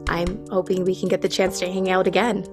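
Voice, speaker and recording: A woman's voice: fairly low pitch, level tone, fairly quick, over music, lightly acted. A woman acting in an audio drama as a flirtatious professional on a coffee date, self-assured and coy. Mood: flirtatious, curious.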